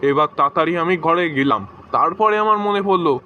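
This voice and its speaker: A man's voice: fairly high pitch, fast talker, highs cut off, a little hiss or hum, lightly acted. A man voicing a hapless, chatty husband and joking buddy in comic cartoon skits. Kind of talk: comic cartoon dubbing in short joke skits.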